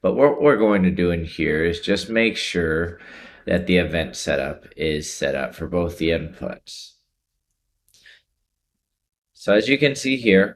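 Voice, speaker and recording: A man guides viewers through software. His delivery is informal and explanatory, taking things one step at a time as a tutorial presenter. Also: low voice.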